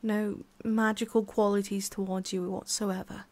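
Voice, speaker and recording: A woman playing a haughty, centuries-old tsundere forest witch in an ASMR roleplay, scolding the listener with condescension and theatrical pauses. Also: medium pitch, level tone, darkish tone, close to an everyday voice. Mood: scornful.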